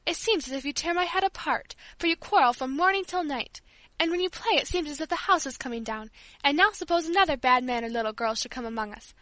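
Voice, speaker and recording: A woman reading aloud puts on a bitter, bedridden teenage girl who snaps and scolds. Her voice is high and bright, close and dry in the recording, with a sharp, irritable edge. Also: animated, normal speed, dry recording. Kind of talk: audiobook character voice.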